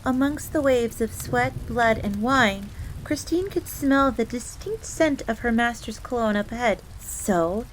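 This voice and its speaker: A woman's voice: a little high, unhurried, slight background noise, rough recording. A woman voicing a timid, eager fox maid in a fantasy audio roleplay, speaking deferentially and calling herself by name in the third person. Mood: hushed storytelling. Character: timid, eager, easily flustered female fox maid servant. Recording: steady rain ambience under the voice. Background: rain.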